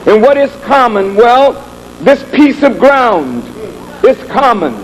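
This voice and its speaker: A man preaching a sermon in an emphatic, deliberate oratorical manner, building with repetition and rhetorical questions. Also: very high-pitched, sing-song, rough recording. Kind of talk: sermon, oratorical public address.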